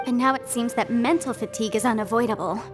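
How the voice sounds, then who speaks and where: A woman playing an analytical, polite young investigator in a video game, measured, articulate and thoughtful. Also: on the high side, music in the background, close to an everyday voice. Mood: composed, concerned.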